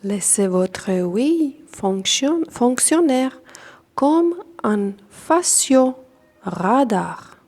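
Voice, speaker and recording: A woman with a non-native accent in French leads a guided yoga nidra meditation as instructor, calm and deliberate, in short phrases with long pauses. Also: on the high side.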